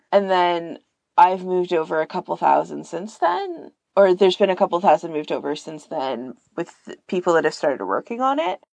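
A woman's voice: lowish voice, creaky, a little mellow, no room sound. A woman explaining things in a casual podcast chat, informally and with plenty of filler words. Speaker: podcast guest and volunteer archivist.

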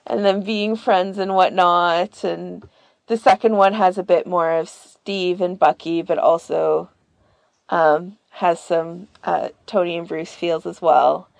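Slightly low-voiced woman, close dry sound. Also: steady tone, slow, vocal fry, no room sound. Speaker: podcast co-host. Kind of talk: casual chat, giving recommendations.

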